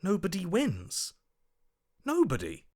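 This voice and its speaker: A man's voice: high voice, wildly swooping pitch, takes it slowly, a little mellow, exaggerated voice. A man playing a fickle, self-serving opportunist in a video game, with an ingratiating, wheedling manner. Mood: urgent, dismayed. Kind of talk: video game character acting.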